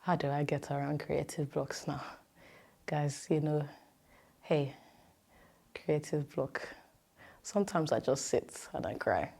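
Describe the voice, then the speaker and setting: Low-voiced young British woman, chatty. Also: slow pace, gravelly, pauses often, a little mellow, slightly rough. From Nigerian-born, moved to Britain at three. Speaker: show host and content creator. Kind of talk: casual chat answering interview questions.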